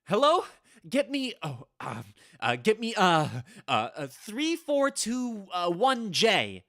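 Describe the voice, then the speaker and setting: Guy acting a jittery haunted husband in radio horror, mutters and stammers, pitch jumps all over, really dry close sound. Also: very animated, takes it slowly, fairly bright tone, no room sound, heavily acted. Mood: panicked. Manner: muttering and stammering, theatrically agitated. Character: frantic, haunted husband armed against a witch, muttering to himself.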